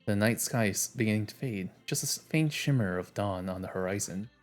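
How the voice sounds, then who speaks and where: A man's voice: lowish voice, fairly quick, soft background music. A man streaming himself reading a game's story aloud, casual and chuckling between lines.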